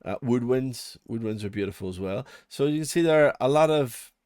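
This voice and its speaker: A man's voice: medium pitch, lively intonation, fairly quick, darkish tone, clean tone, dry recording, studio quality. A man with a Northern Irish accent giving a casual, unscripted walkthrough as a tutorial host, chatty, rambling and self-deprecating. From Northern Ireland. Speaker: music production channel host.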